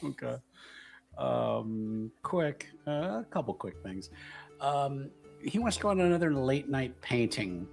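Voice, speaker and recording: A man with a mid-pitched voice talks very slowly through his character's plans in a tabletop game. He is casual and hesitant, thinking aloud over a bed of music. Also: big pitch swings, creaky. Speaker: player in a tabletop roleplaying game. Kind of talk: casual improvised character narration. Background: background music.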